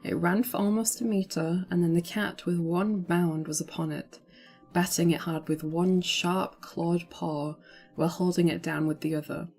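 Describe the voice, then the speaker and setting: A woman's voice: fairly low pitch, fairly even intonation, moderate pace, a little mellow, husky, soft background music. A woman streamer reading a novel aloud as storybook narration, with casual asides.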